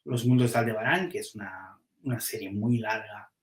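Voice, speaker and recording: A man's voice: on the low side, moderate pace, echoey room. A man, a comics publisher, chatting casually on a podcast and thinking aloud as he weighs which titles to publish, with frequent restarts.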